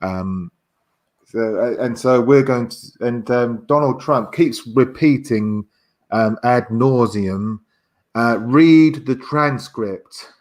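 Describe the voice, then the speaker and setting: A man as a guest in a casual chat, explaining haltingly and stammering as he searches for words. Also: mid-range voice, a little mellow.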